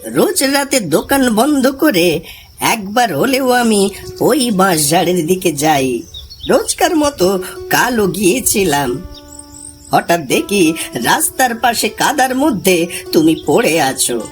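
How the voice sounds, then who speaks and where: An older village uncle, voiced by a woman, gentle and full of sorrow, speaks slowly with wide swings of pitch. Prominent music runs behind the cartoon storytelling. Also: big pitch swings. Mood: gentle, concerned.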